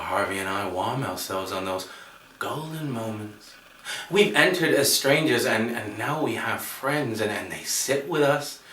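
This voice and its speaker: A man performing a stage monologue as a gentle, dreamy, friendly bar-goer who speaks haltingly and rambles. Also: medium pitch. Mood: wistful, proud. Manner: hesitant, rambling, halting with repeated words. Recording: echoey room, distant microphone.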